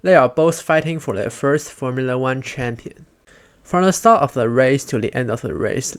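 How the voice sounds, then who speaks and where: A man's voice: mid-range voice, no room sound. A man reading scripted narration as a fan commentator, with non-native phrasing and grammar slips.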